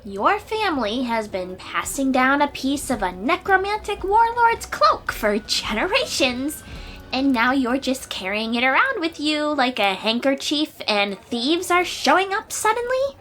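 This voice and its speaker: A woman's voice: high-pitched, big pitch swings, a little slow, a bit bright, heavily acted. A woman playing a confident, eager young sea-dweller warrior in a fantasy audio roleplay, speaking expressively and informally to the listener. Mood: incredulous. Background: music.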